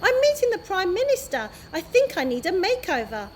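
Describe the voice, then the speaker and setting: A woman's voice: very high-pitched, huge pitch swings, fairly quick, slight background noise, voice pushed up, full character voice. A woman voicing a grandly polite old male tramp who speaks in florid, formal phrases. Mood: earnest.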